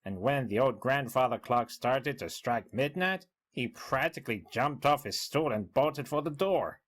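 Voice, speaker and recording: A man's voice: medium pitch, lively intonation, measured pace. A man playing a bemused, gossipy bartender recounting events in a dramatic story reading. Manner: conversational, gossipy. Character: chatty, bemused bartender recounting an odd customer.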